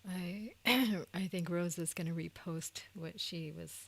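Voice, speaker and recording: Female moderator, lower-pitched and unhurried, casual and tentative as she passes on questions. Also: gravelly, fairly bright tone, husky. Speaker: moderator relaying audience questions. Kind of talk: casual, unscripted hosting.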